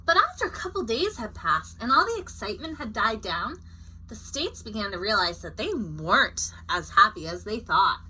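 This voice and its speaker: A woman's voice: medium pitch, moderate pace, slight background noise, poor audio. A woman reading a picture book aloud as a storyteller, expressively and with informal asides. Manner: expressive, acting out short character quotes.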